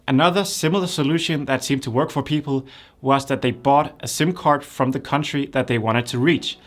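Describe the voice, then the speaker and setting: A young man speaking English, his voice sitting at an ordinary middle pitch. He explains things plainly and step by step, like a creator running a how-to video for his channel. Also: a bit bright. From Denmark. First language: Danish. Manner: plain, step-by-step instructional delivery. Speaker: YouTube creator. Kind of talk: tutorial explainer.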